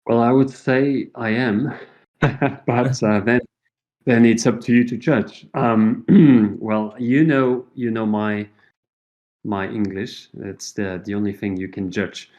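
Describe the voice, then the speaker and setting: A man's voice: mid-range voice, steady tone, slow, darkish tone, lo-fi sound. A middle-aged man with a Portuguese accent, a native Portuguese speaker, answering interview questions thoughtfully with many fillers and restarts. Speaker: associate professor, guest.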